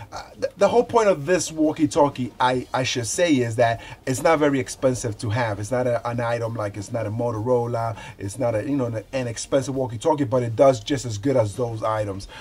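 A man in his forties giving a casual, unscripted product review in a conversational, halting way, often repeating words as he goes. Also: medium pitch, darkish tone, breathy edge. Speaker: product reviewer, show host. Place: small indoor room with shelves.

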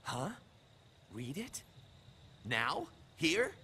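A man's voice: mid-range voice, wildly swooping pitch, extremely slow, pushed and bright, a little hiss or hum, full character voice. A man voicing a cocky, bemused punk assassin hero in a video game, with a wry, casual delivery. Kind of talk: video game cutscene acting. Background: music.

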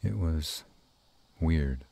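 A man playing a gruff but tender were-dragon lover in an intimate romantic audio roleplay, murmuring slowly and haltingly in a gravelly, husky voice. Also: rumbling low, warm tone, full character voice. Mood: thoughtful.

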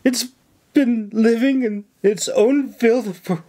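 A man's voice: high voice, lively intonation, measured pace, darkish tone, pitched above the performer's own voice. A man voicing a scheming young woman mage who sniffles and pleads as she fakes distress. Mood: pleading.

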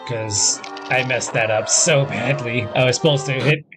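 A man's voice: medium pitch, a little slow. A man in his thirties giving casual, offhand gameplay commentary as a video host, close to the mic. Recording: game music behind the voice.

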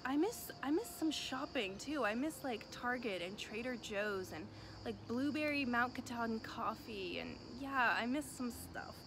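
A thirty-year-old American woman chatting casually to camera as she answers viewer questions, informal and rambling with emphatic repetition. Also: fairly high pitch. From United States. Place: outdoor rooftop balcony above a city.

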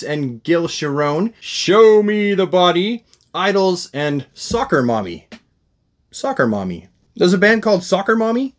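A middle-aged man presents an unboxing review in a casual, excitable way. His voice sits a little high for a man and swings widely in pitch, with animated exclamations throughout. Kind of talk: casual enthusiastic unboxing vlog. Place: small indoor room lined with shelves of collectibles.

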